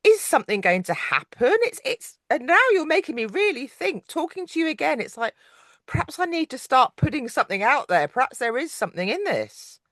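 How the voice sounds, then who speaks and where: A woman's voice: sits high, big pitch swings, brisk, fairly bright tone, dry recording. A middle-aged woman hosting a casual podcast interview, chatty and rambling, often trailing off mid-thought. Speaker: podcast host.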